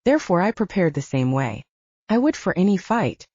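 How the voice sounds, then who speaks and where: Woman narrating an audiobook, dark mellow tone, lowish voice, slightly dull recording. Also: big pitch swings, moderate pace, no room sound. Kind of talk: scripted first-person fiction narration.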